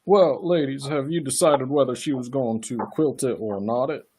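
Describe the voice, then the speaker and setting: A man's voice: mid-range voice, normal speed, heavy background noise, close to an everyday voice. A man playing a patronising, officious county attorney in a live radio play. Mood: condescending. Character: condescending, brisk male county attorney.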